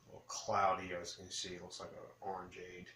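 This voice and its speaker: A man hosting a casual, rambling beer review, speaking haltingly and often correcting himself. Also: low-pitched, completely flat delivery, sharp tone. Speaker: beer review vlogger, host. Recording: roomy echo with clear background noise.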